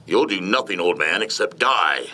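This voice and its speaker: A man voicing a cocky, menacing male vampire in an audiobook, with a taunting, drawn-out delivery. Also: low voice, animated, pushed and bright, full character voice. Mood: cold threat.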